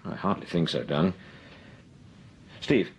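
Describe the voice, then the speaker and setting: A suave upper-class detective. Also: male voice, low voice, a little slow. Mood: composed. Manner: clipped, crisp upper-class delivery. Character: suave male detective, calm and shrewd. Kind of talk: 1940s radio drama acting.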